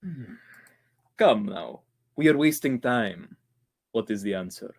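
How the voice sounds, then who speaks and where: A man's voice: fairly low pitch, animated, darkish tone, slightly rough, full character voice. A man playing a formally spoken, menacing doctor in a 1940s-style radio thriller. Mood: polite menace. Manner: formal, deliberate phrasing. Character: menacing polite doctor villain, really a panicking radio actor.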